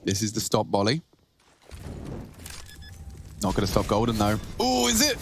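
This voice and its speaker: A man with a British accent giving lively play-by-play commentary on an esports match. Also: fairly low pitch, normal speed, background noise.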